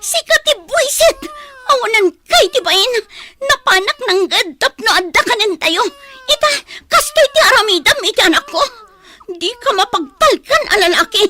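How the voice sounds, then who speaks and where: A woman's voice: extremely high pitch, expressive, moderate pace, pushed and bright, a little hiss or hum, heavily acted. A woman playing a reproachful, hurt young wife and mother in an emotional audio drama. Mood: tearful, angry.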